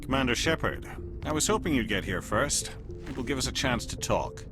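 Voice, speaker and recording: A man acting a cool, probing alien agent in a video game, deliberate and insinuating. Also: mid-range voice, expressive, natural voice. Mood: cool, curious. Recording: loud music behind the voice. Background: music.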